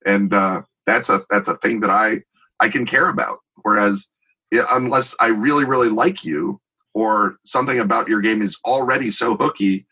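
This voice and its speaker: A man's voice: on the low side, normal speed, pushed and bright, breathy edge, rough recording. A middle-aged man talking as an interview guest, explaining in an emphatic, wry, conversational way with digressive examples. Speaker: interview guest, tabletop game designer.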